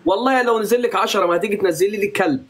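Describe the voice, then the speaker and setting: Fast-talking Egyptian man, bantering streamer. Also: high-pitched, a bit bright. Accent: Egyptian Arabic. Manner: playful, bantering, mock-stern. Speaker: live streamer. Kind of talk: teasing live-stream chat.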